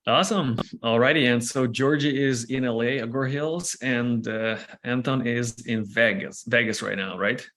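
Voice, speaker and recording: A man, hosting a casual interview discussion over a video call, upbeat and energetic. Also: medium pitch, normal speed, few pauses, a little hiss or hum. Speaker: host, bootcamp founder.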